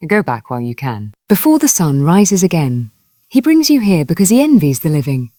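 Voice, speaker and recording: Woman voicing a grave, low-pitched old village woman. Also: very animated, moderate pace, warm tone, no echo. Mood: grim warning. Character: gaunt old village woman, trembling, grimly warning.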